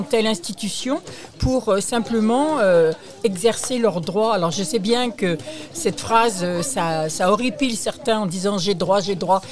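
A woman giving a spontaneous, halting explanation as a charity representative, often rephrasing mid-sentence. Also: medium pitch. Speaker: aid charity representative, interview guest.

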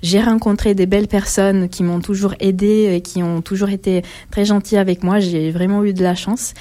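A woman, a guest answering interview questions in a halting, thoughtful way. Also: mid-range voice, steady tone, warm tone. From Italy. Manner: halting, thoughtful, many pauses.

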